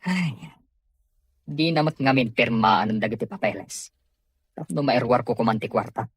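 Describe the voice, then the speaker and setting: An actor playing a scheming, greedy cousin in an Ilocano audio drama, with a husky voice. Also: male voice, mid-range voice, moderate pace, no room sound, close to an everyday voice. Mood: sly, scheming. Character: scheming, greedy male cousin of a wealthy invalid. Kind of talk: Ilocano radio drama acting.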